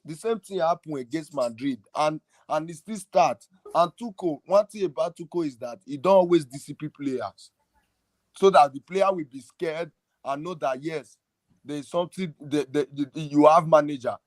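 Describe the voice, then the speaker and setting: A man's voice: a little high, moderate pace, no room sound, low-quality recording. A man hosting a football fan podcast, arguing his point passionately in a casual heated debate. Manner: passionate, argumentative, emphatic.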